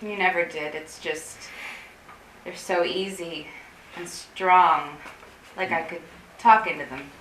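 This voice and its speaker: Anxious, bright-voiced woman in echoey room acted by a woman. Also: on the low side, slow, long pauses. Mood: wistful. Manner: rambling, self-correcting, awkward. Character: anxious, wry, guarded woman running a tech-averse support group. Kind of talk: staged play reading, naturalistic dialogue. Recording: roomy, distant mic with faint noise.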